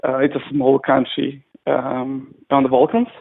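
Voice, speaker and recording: A man's voice: mid-range voice, completely flat delivery, a little slow, gravelly. A man, a guest talking casually over a call, hesitant and often restarting as he searches for words. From North Macedonia. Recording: tinny phone-like call line.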